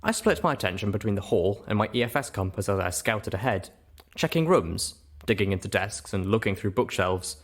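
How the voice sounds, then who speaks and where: Male audiobook narrator, first-person storytelling, slightly low register. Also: expressive, a little fast.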